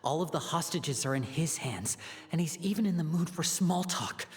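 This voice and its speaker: A man's voice: fairly high pitch, animated, moderate pace, natural voice. A man playing an anxious, proud young leader in a video game, with an intense, earnest delivery. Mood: suspicious, accusing. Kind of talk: dramatic video game voice acting. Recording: music behind the voice.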